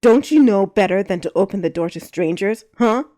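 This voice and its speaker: Woman playing a gruff male wolf, loud, barking, short-tempered. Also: medium pitch, very animated, normal speed, close to an everyday voice. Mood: barking, angry.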